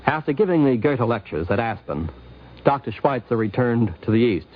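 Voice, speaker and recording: A man's voice: medium pitch, sing-song, normal speed. A man reading scripted documentary narration in 1950s radio style. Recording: muffled 1950s radio broadcast.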